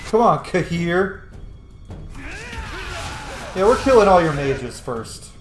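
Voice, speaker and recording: Sweary, high-pitched male gamer in a roomy space. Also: big pitch swings, takes it slowly, loud music behind the voice. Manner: sweary, playful. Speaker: gaming streamer. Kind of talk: casual let's play commentary.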